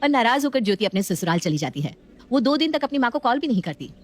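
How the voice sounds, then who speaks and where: A woman's voice: fairly high pitch, very animated, quick pace, a little hiss or hum. A woman reading scripted story narration as the narrator of a family tale.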